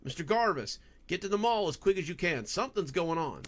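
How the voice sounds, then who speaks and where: A man's voice: high voice, sing-song, fairly quick, pitched above the performer's own voice. A man playing an urgent, stubborn young man who pleads insistently in a horror audiobook.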